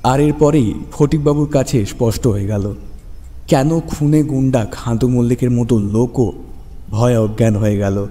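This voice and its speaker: A man's voice: mid-range voice, normal speed, warm tone. A man narrating a scripted horror story dramatically for a radio show. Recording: long reverb over music. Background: background music.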